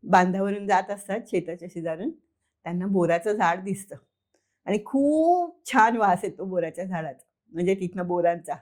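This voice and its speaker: A woman's voice: mid-range voice, moderate pace, darkish tone. A woman storyteller narrating informally for children, conversational, addressing listeners and repeating words for effect.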